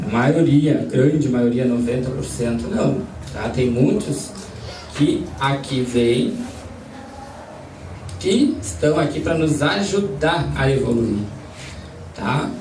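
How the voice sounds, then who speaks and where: A man's voice: mid-range voice, lively intonation, slow, darkish tone. A man leading a spiritist study group, talking casually and putting questions to the people in the room. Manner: conversational, asks the audience questions. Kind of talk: informal group lesson with questions to audience. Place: study centre meeting room. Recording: roomy, distant mic, faint background noise.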